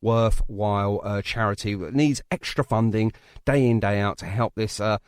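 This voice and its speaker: A man's voice: on the low side, normal speed, clean tone, no echo, clean studio sound. A middle-aged man hosting a chatty music interview, talking in an enthusiastic, rambling way. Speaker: radio and podcast host.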